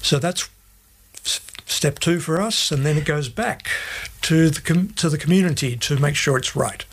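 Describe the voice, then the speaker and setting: A man's voice: fairly high pitch, moderate pace, breathy edge. A middle-aged Australian man giving plain-spoken, methodical explanations as an interview guest. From Australia, grew up in Sydney. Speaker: interview guest, Bible translation advisor.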